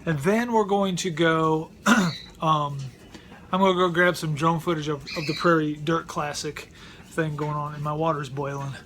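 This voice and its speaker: A man's voice: a little high, fairly even intonation, moderate pace, a bit bright, slight background noise. A middle-aged man talking casually at home for his vlog, rambling and often repeating words as he restarts sentences. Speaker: vlogger. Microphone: close mic.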